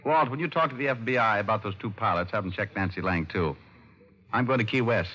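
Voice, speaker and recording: An actor playing a shrewd, confident private detective, wry and colloquial, in an old-time radio drama. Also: male voice, lowish voice, fast talker, sharp tone. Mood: brisk, shrewd. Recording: dull vintage radio recording.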